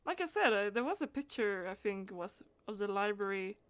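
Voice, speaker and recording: A woman's voice: medium pitch, animated, moderate pace. A woman doing casual live gaming stream commentary, thinking aloud hesitantly and letting phrases trail off.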